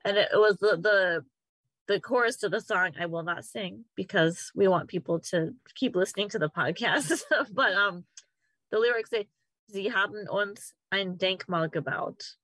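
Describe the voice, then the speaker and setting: A woman's voice: medium pitch, a little slow, fairly bright tone, no echo. A woman co-hosting a podcast, chatting casually with frequent ums. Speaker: podcast co-host.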